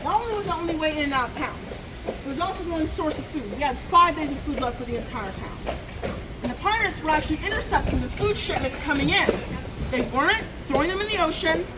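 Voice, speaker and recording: A woman from Michigan leading a ghost tour outdoors at night, telling stories to her group with relaxed, dry humor. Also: high-pitched, fast. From Michigan, USA. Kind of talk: guided tour storytelling to a group. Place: outdoors on a city street at night. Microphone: audience phone recording the guide from a few steps away. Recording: raw phone footage with loud street noise.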